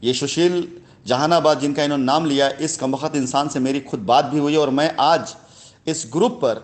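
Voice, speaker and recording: A man delivering an emphatic, indignant voice note announcement in formal, speech-like phrases, repeating words for stress. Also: on the high side. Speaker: company head addressing customers.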